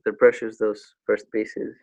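A man in his thirties with a Greek accent, a native Greek speaker, chatting casually as an interview guest and often pausing to search for words. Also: on the low side, monotone, creaky, a little mellow, dull audio. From Greece. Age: 30s. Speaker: guest, graffiti artist.

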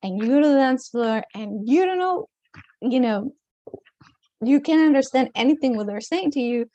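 A woman from Mexico with a Latin American Spanish accent, talking as an interview guest in a casual way. Her fairly high voice is mellow and soft-edged, and she often pauses to find words and laughs between phrases. The recording is dry and close. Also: animated, no echo, highs cut off. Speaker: guest, dance teacher.

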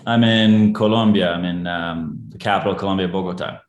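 A man's voice: deep voice, fairly even intonation, fairly quick. Brazilian-born man in an echoey room. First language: Portuguese. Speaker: guest actor. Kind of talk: casual interview chat.

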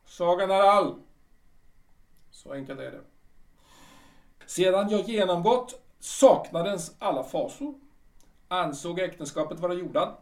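A man's voice: high-pitched, darkish tone, distant mic. A man playing a proud, brooding retired official in his sixties in a radio drama, speaking theatrically and repeating himself emphatically. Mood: wistful. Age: older. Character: proud, brooding 62-year-old retired official clinging to memories.

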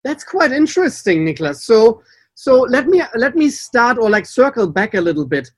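A man's voice: extremely high pitch, big pitch swings, normal speed, no room sound. A man with a German accent, a native German speaker, hosting a podcast in English as a water sommelier, upbeat and chatty. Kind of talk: casual podcast chat.

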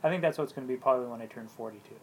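A man's voice: medium pitch, rapid-fire, a little mellow, a little hiss or hum, poor audio. Easygoing man in his late thirties. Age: 30s. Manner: lots of "you know" and "yeah" fillers. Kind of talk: casual chat.

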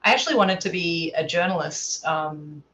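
A woman's voice: fairly low pitch, moderate pace, fairly bright tone, a little hiss or hum. A woman from Australia with an Australian accent touched by American, chatting casually as an easygoing interview guest from home. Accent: Australian with American influence. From Adelaide, Australia. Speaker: podcast guest, scientist. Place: at home, remote call.

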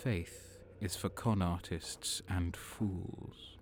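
An actor playing a cold, dismissive traitor soldier in an audio drama. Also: male voice, low voice, fairly even intonation, drawn-out words, background noise.

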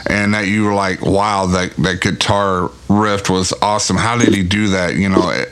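A man's voice: deep voice, fairly even intonation, normal speed, fairly bright tone, clear, resonant tone. A man in his forties hosting a casual podcast interview, folksy and faith-focused, often repeating words as he talks. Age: 40s. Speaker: podcast host.

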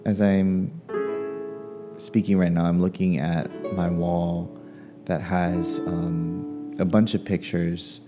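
A man from Hawaii, a playwright musing casually about his work and family, pausing often with ums. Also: low voice, flat delivery, over music. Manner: hesitant, many ums and pauses. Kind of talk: casual personal reflection.

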